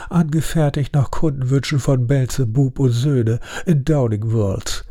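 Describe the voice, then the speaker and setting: A man voicing Sir Arthur, a calm, lecturing older English gentleman sleuth. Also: medium pitch, unhurried, voice pushed up. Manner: composed, lecturing.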